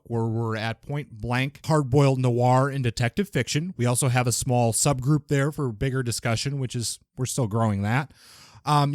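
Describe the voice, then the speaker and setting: A man's voice: mid-range voice, a little mellow, dry recording, clean studio sound. A man hosting a podcast in a casual, conversational style, addressing the listeners. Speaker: podcast host.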